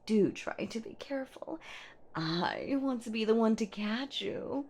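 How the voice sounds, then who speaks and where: A woman acts out a menacing female monster from a horror audio roleplay, teasing and theatrical. She speaks very slowly with heavy creak in her voice, swooping widely as she toys with her prey. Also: fairly high pitch, lively intonation, darkish tone, a little hiss or hum. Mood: gleeful, taunting. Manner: theatrical, teasing, slow, often dropping to a whisper. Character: menacing, playful centuries-old female boogeywoman monster hunting her prey.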